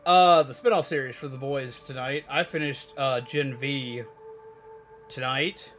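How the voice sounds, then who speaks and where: A man livestreaming, chatting casually and rambling to viewers in an animated, emphatic, opinionated way. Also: mid-range voice, measured pace, a little hiss or hum. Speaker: live streamer. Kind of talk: casual rambling chat with viewers while gaming.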